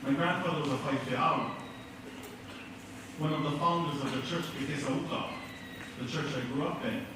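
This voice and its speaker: A man's voice: medium pitch, recorded from a distance, background noise. Earnest man speaking in an echoey hall. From American Samoa. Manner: measured, earnest storytelling with pauses. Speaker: keynote speaker. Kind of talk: prepared speech. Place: school auditorium.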